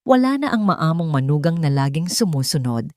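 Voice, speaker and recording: A woman storyteller reading scripted drama with suspenseful, emphatic delivery and dramatic pauses. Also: sits low, sing-song, darkish tone, dry recording, clean studio sound.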